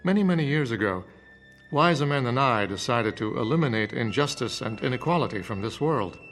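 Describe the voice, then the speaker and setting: A man playing a calm professor in a radio drama, persuasive and didactic, phrasing things deliberately. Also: medium pitch, faint music behind. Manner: measured, didactic, deliberate repetition. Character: calm, persuasive male professor preaching conformity.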